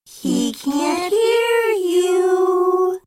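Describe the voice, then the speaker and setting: A woman's voice: big pitch swings, slow pace. A woman voicing gushing little girl kitten sisters in a storybook. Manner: squeaky, gushing, giggly.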